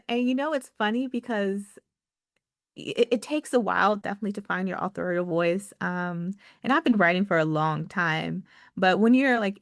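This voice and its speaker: A woman's voice: mid-range voice, dry recording, clean studio sound. Chatty woman author guest. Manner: chatty, with many likes and ums, laughs easily. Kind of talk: podcast interview.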